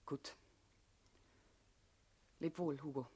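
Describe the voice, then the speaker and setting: Husky, stern revolutionary woman. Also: low-pitched, steady tone, very slow, mellow, exaggerated voice. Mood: cold, quiet. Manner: commanding, cutting. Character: stern, urgent woman revolutionary, hard party comrade. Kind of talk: mid-century German radio play acting.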